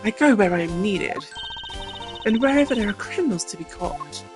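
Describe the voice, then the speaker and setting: Figure: a proud, imperious young female prosecutor, as a man puts her on. She scolds and lectures with cold superiority, pitched well above his own voice. Game music runs under the line. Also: expressive, normal speed. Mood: haughty.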